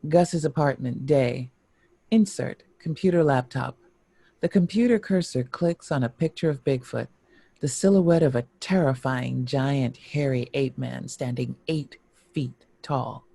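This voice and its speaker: A male narrator reads screenplay directions in a mellow, fry-heavy voice of middle pitch. The sound is very dry, with no room echo. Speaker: narrator reading stage directions.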